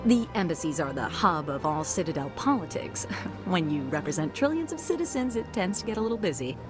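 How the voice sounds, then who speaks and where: A woman's voice: fairly low pitch, sing-song, a little fast, music in the background. A woman playing a courteous, composed embassy receptionist in a video game, professional and helpful. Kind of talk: video game dialogue acting.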